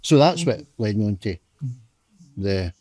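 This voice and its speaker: Blunt, low-voiced man in his fifties. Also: sing-song, unhurried, warm tone, no room sound. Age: 50s. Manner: blunt, offhand, swears casually. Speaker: music fan guest. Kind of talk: casual reminiscing.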